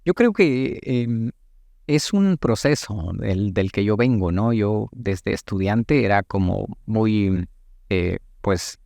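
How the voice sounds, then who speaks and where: A Guatemalan man in his late thirties giving thoughtful, halting answers as a politician being interviewed, with formal wording. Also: fairly low pitch, animated, creaky, darkish tone, no room sound, studio quality. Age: late 30s. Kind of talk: reflective interview talk.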